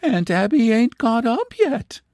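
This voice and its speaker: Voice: medium pitch, sing-song, a little slow, a little mellow, no echo, studio quality, pitched above the performer's own voice. A reader voicing a petted, helpless young woman who speaks plaintively in rural dialect. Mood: innocent, aggrieved.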